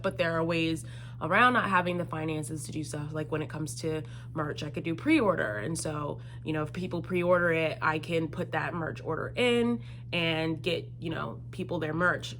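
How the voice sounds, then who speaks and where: Low-pitched young woman, casual Q&A chat. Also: fairly bright tone, a little hiss or hum. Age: 20s. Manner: conversational, explanatory. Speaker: singer-songwriter answering viewer questions. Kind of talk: casual solo Q&A chat to camera.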